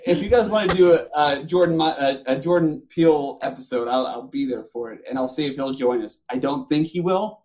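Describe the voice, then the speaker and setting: A man's voice: on the high side, fairly quick, hardly any pauses, background noise. A man hosting a casual panel chat about films, speaking in an enthusiastic, emphatic way. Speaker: podcast host.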